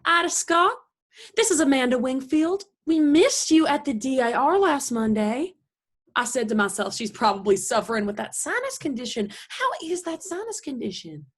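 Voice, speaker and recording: A woman's voice: high-pitched, animated, normal speed. A woman playing a domineering, prim middle-aged Southern mother in a stage play reading, theatrical and chattering. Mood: gushing, coaxing. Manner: theatrical, indignant, gushing.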